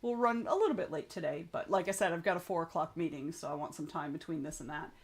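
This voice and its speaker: A woman's voice: low-pitched, quick pace, slightly rough. A young adult woman hosting a writing stream, chatting casually and reading out viewer comments, rambling and frank, with some swearing.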